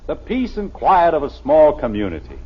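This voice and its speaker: An actor playing a stubbornly cheerful, folksy small-town American husband in an old-time radio comedy, with a husky voice and a hearty, boastful manner. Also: male voice, fairly high pitch, huge pitch swings, moderate pace, heavily acted. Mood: hearty, contented. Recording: dull vintage radio recording.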